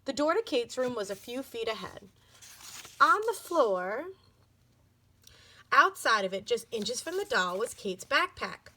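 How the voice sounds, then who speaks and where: A woman reading a children's book aloud as an expressive storybook narrator. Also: fairly high pitch, a little slow, long pauses.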